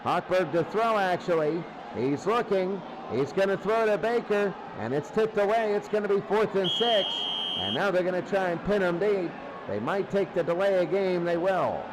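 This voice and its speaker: Male football play-by-play caller, high-pitched, excitable and repetitive, over a loud noisy background. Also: normal speed. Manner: excitable, short repetitive phrases. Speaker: play-by-play sports commentator. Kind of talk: American football radio-style commentary.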